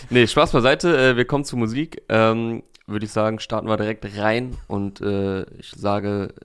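Slightly hoarse man hosting a chat. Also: on the low side, moderate pace. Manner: relaxed, talkative, with frequent fillers. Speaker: podcast host. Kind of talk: casual music review chat.

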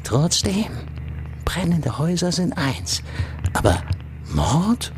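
A man's voice: a little high, sing-song, slow pace, noisy background. An actor playing a cynical, xenophobic male senior official in a political radio drama, weary and emphatic. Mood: indignant.

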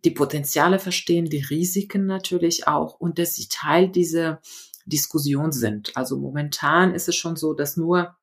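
Woman from Morocco, animated interview guest. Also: sits low, moderate pace. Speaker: guest author. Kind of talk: interview answers. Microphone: remote connection.

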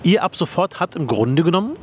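A man's voice: fairly high pitch, very animated, fairly quick, slight background noise. A man in his forties from Berlin, a politician hosting an interview, friendly and curious as he asks his questions. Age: 40s. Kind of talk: interview with a casual opening.

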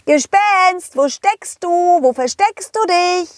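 A cheerful, loyal dog from a children's story, voiced by a woman, high and very lively. He sounds confident, eager and playful, always reassuring. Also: slow, dry recording, full character voice. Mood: playful, excited.

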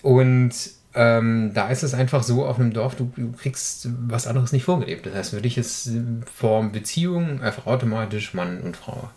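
A man's voice: medium pitch, moderate pace, hardly any pauses, darkish tone. Reflective man in his thirties. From rural Germany. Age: 30s. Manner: reflective, hesitant, many fillers. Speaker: podcast guest. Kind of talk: casual conversation.

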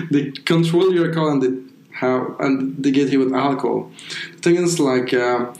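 A young man from Afghanistan whose first language is Persian talks in a mid-pitched voice. He rambles casually and drops "like" into almost every phrase. The room around him gives his voice a noticeable echo. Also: measured pace, darkish tone. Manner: rambling, leans heavily on "like" as a filler. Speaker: interview guest. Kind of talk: casual chat. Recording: roomy, echoey room.

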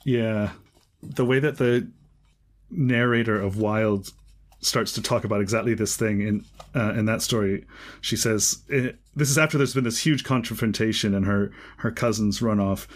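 A man's voice: lowish voice, normal speed. Chatty man discussing a book. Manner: animated, enthusiastic, many fillers like "like". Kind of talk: casual conversation.

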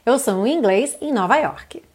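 Brief: woman from Brazil, English teacher, high-ish voice, careful slow reading of lines. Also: lively intonation, breathy edge. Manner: reads English lyrics slowly and carefully, then translates. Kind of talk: online language lesson.

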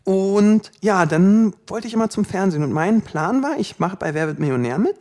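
A man in his twenties from Berlin with a light Berlin accent, telling stories from his life as an interview guest, quick and joking, laughing often. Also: high-pitched, expressive, a little mellow. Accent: light Berlin German. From Berlin, Germany. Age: 20s. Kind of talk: casual storytelling interview.

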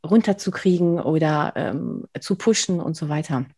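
Woman host from Spain, effusive and chatty, slightly low husky voice, dry close sound. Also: moderate pace, no echo. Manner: chatty, laughs often, warm and effusive. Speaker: podcast host. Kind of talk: casual interview chat.

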